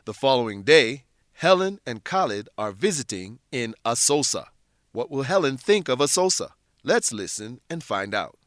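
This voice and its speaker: A man's voice: medium pitch, very animated, normal speed, a bit bright, no room sound, studio quality. A man narrating an educational radio drama in a scripted, presentational style.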